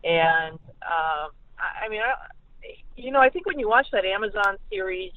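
A woman with an American accent giving conversational sports analysis as a guest reporter, haltingly and often repeating words. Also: medium pitch, expressive, dry recording. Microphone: telephone. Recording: tinny, narrow phone line.

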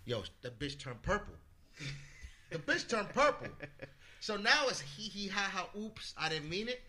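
A man from the Bronx telling a story as a podcast guest, animated, swearing freely and acting out what people said. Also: high voice, a little slow, slight background noise. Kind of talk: casual storytelling.

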